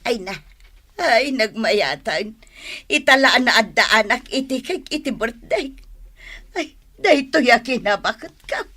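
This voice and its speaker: An actress playing a sentimental older aunt in a radio drama, with a husky voice and a Northern Philippine Ilocano accent. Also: female voice, fairly high pitch, expressive, unhurried, bright, forceful tone, exaggerated voice. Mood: touched, plaintive.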